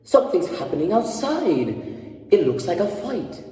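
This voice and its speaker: Man playing bossy young lady, raised pitch. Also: sing-song, normal speed. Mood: alarmed. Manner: sharp-tongued, urgent. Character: Ambrosia, brisk exasperated young lady, bossy daughter. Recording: echoey room, distant microphone.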